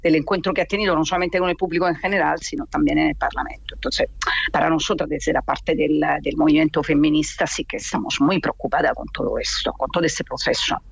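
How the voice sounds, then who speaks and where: A woman's voice: deep voice, steady tone, quick pace, gravelly, husky, no room sound. Italian woman, expert guest, lots of ehs and restarts, dry dull phone sound. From Italy. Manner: thoughtful, many fillers and restarts. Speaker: gender and rights expert guest. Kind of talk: interview by phone. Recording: muffled dry phone line.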